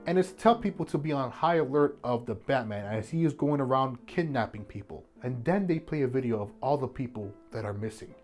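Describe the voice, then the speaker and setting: A man narrating a video essay in an informal, jokey way, with animated asides and some swearing. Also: medium pitch. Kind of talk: scripted video essay commentary with joking asides. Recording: music bed behind the voice. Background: background music.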